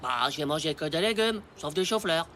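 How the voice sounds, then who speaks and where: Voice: medium pitch, animated, brisk, sharp tone, a little hiss or hum. An actor voicing a cheeky, stubborn ten-year-old schoolboy, grumbling and mocking in slangy French cartoon style. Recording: prominent music behind the voice.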